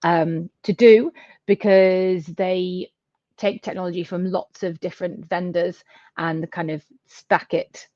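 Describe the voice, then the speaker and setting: A woman's voice: on the low side, fairly even intonation, slow, a little mellow, no room sound, dull audio. A British woman speaking fluently and articulately as an interview guest, describing her firm. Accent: British English. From United Kingdom. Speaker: guest, accounting firm founder. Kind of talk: conversational interview.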